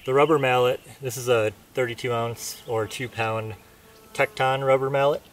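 A man's voice: medium pitch, steady tone, moderate pace. A man testing camping hammers outdoors, explaining plainly and thinking aloud as he works. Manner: plain, matter-of-fact, thinking aloud while working. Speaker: gear reviewer. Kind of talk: casual product test. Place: outdoors on open dirt ground in pine woods. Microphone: camera mic at a distance. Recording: distant outdoor camera audio with faint noise.

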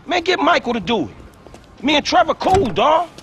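An actor playing a young, street-smart hustler in a video game, defensive and exasperated. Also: male voice, very high voice, big pitch swings, moderate pace, a bit bright, a little hiss or hum, heavily acted. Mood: defiant. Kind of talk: video game cutscene acting.

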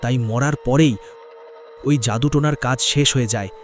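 A man playing an earnest young man who warns and explains gravely in a cartoon drama. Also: mid-range voice, moderate pace, a little hiss or hum, natural voice. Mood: earnest concerned. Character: earnest, urgent young man warning his friend.

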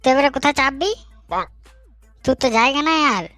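A bossy young village man leads his friends in a comic cartoon, acted out by a man. His voice is very high and teasing, with a rural West Bengal accent. It is dry and close, with faint music behind. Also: fast, sharp tone, no echo.

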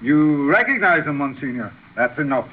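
A man's voice: on the high side, very animated, normal speed. An actor playing a brusque, bullying policeman, barking and officious, in a 1930s radio drama. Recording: tinny, noisy 1930s radio recording.

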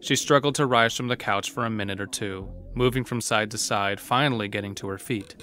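Low-voiced male actor as horror storyteller. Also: brisk, pushed and bright, faint music behind. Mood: grave storytelling. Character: storyteller of a dark horror tale. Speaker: narrator. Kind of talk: scripted horror audio drama narration.

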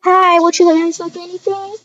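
A woman's voice: very high-pitched, little pitch movement, moderate pace, a bit bright, voice pushed up. A woman voicing a cheery, polite female shopkeeper in a game roleplay, with a customer-service greeting tone. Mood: cheery, welcoming. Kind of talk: improvised video game roleplay. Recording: dull, muffled lo-fi recording.

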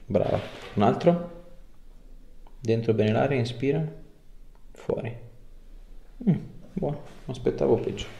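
A man's voice: mid-range voice, unhurried, gravelly, long pauses, mellow, muffled recording, slight background noise. A man, working as a chiropractor and giving informal, short coaxing instructions to a patient. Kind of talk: hands-on clinical instructions and casual chat with a patient. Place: small indoor clinic treatment room. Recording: echoey small treatment room.

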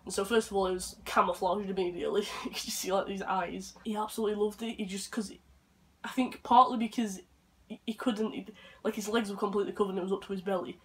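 Young man, hoarse from flu, rambling casual vlog chat, bedroom webcam. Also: sits high, fairly even intonation, quick pace. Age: young adult. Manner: chatty, rambling, talks softly to his dog. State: hoarse from flu and chest infection. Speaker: vlogger. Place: bedroom, indoors.

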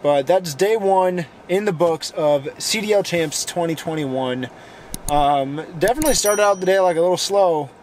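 Tired, hoarse young man vlogging. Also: high-pitched, normal speed, a little hiss or hum. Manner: rambling, filler-heavy self-talk. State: hoarse, losing voice. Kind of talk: casual vlog talk.

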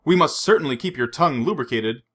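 A man reading a storybook, voicing a suave, menacing male villain who mocks his captive with unhurried threats. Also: fairly high pitch, animated, fairly bright tone, husky. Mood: mocking. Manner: silky, mocking, unhurried threats.

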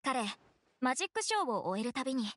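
A woman playing a composed, matter-of-fact young townswoman in an anime game, explaining things in a measured way. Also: high voice, sing-song, fairly bright tone, no echo, studio quality, full character voice. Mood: informative.

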